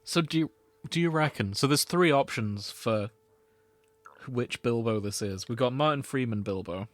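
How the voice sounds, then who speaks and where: A man's voice: medium pitch, moderate pace, dry recording. A man hosting a comedy podcast, chatting in a jokey, sweary way. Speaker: podcast host. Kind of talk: bantering comedy podcast chat.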